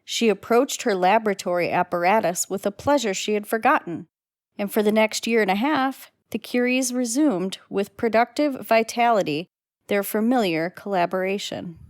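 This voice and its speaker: A woman's voice: medium pitch, moderate pace, dry recording, studio quality, close to an everyday voice. A woman reading scripted narration as the narrator of an old time radio drama.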